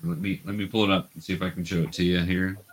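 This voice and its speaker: A man's voice: low-pitched, little pitch movement, fast, noisy background. A man speaking as a patient game master, casually explaining and narrating a tabletop game for young players over an online call. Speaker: game master teaching children tabletop roleplaying.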